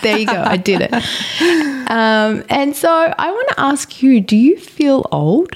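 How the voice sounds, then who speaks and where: A woman in her early forties co-hosting a podcast, chatting in a thoughtful way with frequent pauses and fillers. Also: on the high side, big pitch swings. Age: 40s. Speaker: podcast co-host. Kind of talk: casual chat.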